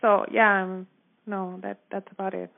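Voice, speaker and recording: A woman talks over the telephone, her mid-pitched voice squeezed into a narrow, muffled band. She recounts things informally, as in a casual witness interview, and keeps checking that the listener follows her. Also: flat delivery, moderate pace, creaky. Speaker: store manager giving a witness account. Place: telephone call. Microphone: phone line. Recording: narrow muffled phone-line audio.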